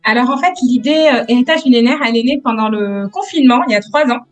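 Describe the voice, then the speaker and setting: A woman in her thirties from France, a guest entrepreneur answering interview questions with many hesitant pauses. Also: a little high, soft background music. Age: 30s. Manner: hesitant, many "euh" pauses, soft-spoken.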